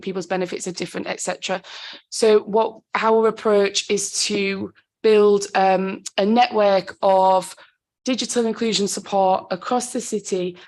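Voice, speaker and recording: A woman with a sore throat and a husky voice, a council digital inclusion officer presenting a report to a committee in a conversational way, with frequent ums and self-corrections. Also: mid-range voice.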